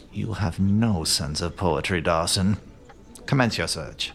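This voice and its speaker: An actor playing an aloof, self-assured master detective in a comic murder-mystery audio drama, with a haughty, theatrical, deliberate delivery and hushed musing. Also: male voice, low voice. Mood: brooding, haughty.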